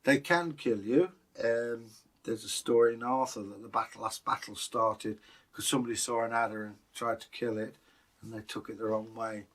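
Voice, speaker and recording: A man's voice: on the low side, moderate pace, fairly bright tone. A British man co-hosting as a storyteller, telling folktales and chatting in a casual, musing way. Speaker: co-host and storyteller.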